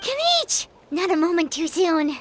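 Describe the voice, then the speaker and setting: Tiny eager game fairy, squeaky kiddie voice, super bouncy, noisy game ambience behind. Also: female voice, very animated, a little slow, heavily acted. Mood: relieved. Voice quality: squeaky, childlike. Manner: refers to self by name, eager. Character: tiny childlike fairy companion, chirpy and forgetful. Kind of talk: video game character dialogue.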